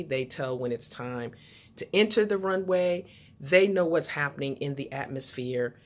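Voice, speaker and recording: A woman with a low-pitched voice delivers a motivational talk as the host of her own channel. She speaks directly to the viewer in a deliberate, instructive manner, leaning on key words to make each point.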